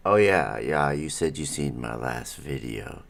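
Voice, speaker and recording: A man's voice: extremely low pitch, animated, a little slow, vocal fry. A middle-aged man hosting a casual live stream from home, rambling as he chats with viewers, his nose stuffy. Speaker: livestream host.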